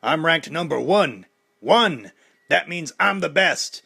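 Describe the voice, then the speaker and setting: A man voicing a loud, menacing young male boxer who taunts and boasts about himself. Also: a little high, expressive, takes it slowly, vocal fry, slightly rough, voice pushed up, exaggerated voice.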